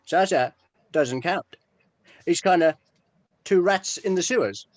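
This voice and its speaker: A man's voice: high-pitched, animated, takes it slowly, no room sound. A man playing a wry, needling band member in a script read-through, with a dry, teasing manner.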